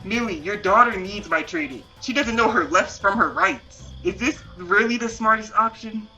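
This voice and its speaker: A woman's voice: mid-range voice, normal speed, sharp tone, muffled recording, faint music behind. A woman acting a bossy, scolding dance coach in a voiced roleplay series, emphatic and demanding. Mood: scolding, indignant.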